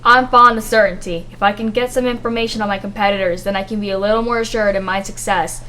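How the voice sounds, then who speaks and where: A woman's voice: mid-range voice, fairly even intonation, fast, sharp tone, close to an everyday voice. A woman playing a smug, scheming male investor in a radio drama, speaking in a dry, self-assured, formal manner. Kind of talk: 1950s radio drama acting.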